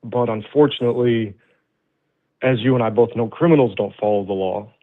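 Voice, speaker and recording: A man in his thirties calling into a political stream, laying out his view in a measured, hesitant way with frequent restarts. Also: mid-range voice. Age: 30s. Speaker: caller. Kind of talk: casual debate on a call. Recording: tinny phone-like call audio.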